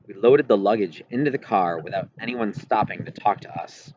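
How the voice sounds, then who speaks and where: A man's voice: on the low side, normal speed, darkish tone. A man reading a first-person story aloud as scripted audiobook narration. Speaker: audiobook reader. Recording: muffled, clearly noisy home recording.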